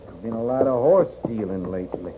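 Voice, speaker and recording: An actor playing a hostile, suspicious horse thief guarding his land, with a rural American accent and a curt, gruff manner, in 1950s Western radio style. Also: lowish voice, expressive, a little fast, lightly acted. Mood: suspicious, grudging. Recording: muffled, noisy 1950s radio recording.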